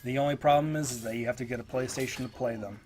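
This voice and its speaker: A man's voice: medium pitch, fairly even intonation, quick pace, a little mellow. A stuffed-up man casually rambling through a voice-over game review, with many ums and restarts. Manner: rambling, lots of fillers. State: stuffed up, congested. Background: game footage audio and music.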